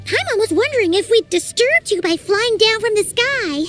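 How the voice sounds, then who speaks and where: A woman voicing a tiny, excitable, childlike fairy companion in a video game, chatty and squeaky. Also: moderate pace. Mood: chatty, exasperated. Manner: refers to self in third person, animated. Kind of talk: video game character acting. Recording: background music behind the voice.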